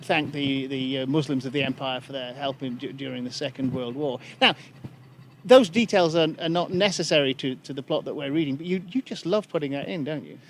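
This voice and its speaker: A man's voice: mid-range voice, a little fast, a little hiss or hum. A man hosting a podcast interview, hesitant and full of fillers and repeated words. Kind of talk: casual interview conversation.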